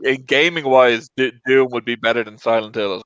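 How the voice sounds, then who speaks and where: A man's voice: medium pitch, steady tone, normal speed, fairly bright tone, smooth, dry recording. A man hosting a casual panel discussion, introducing items and chatting with guests. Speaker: host of movie debate show. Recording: dry, dull lo-fi audio.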